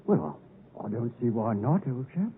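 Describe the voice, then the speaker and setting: Man acting a kindly simple fellow, swooping pitch. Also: very animated, moderate pace, close to an everyday voice. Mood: gentle, fond. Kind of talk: 1950s radio drama acting. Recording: muffled narrow-band vintage radio.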